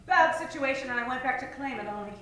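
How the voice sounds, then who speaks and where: A woman's voice: high-pitched, animated, quick pace, a bit bright. A woman acting a sly, sultry femme fatale with a coolly teasing manner in a noir radio parody. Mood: guarded, sly. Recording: echoey, distant live stage recording. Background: faint music.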